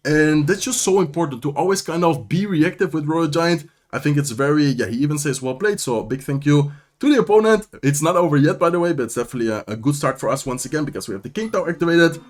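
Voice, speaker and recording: A young man giving upbeat live commentary over a mobile strategy game, talking through each move while he says he is losing his voice. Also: fairly high pitch. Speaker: gaming commentator.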